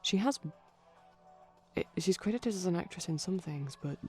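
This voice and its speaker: A man speaking softly in a chatty, rambling ASMR style, often dropping to a whisper, digressive and tentative. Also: high voice, animated, normal speed, breathy edge, faint music behind. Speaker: ASMR performer. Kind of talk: soft-spoken rambling ASMR commentary on a film. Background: TV film audio playing in background.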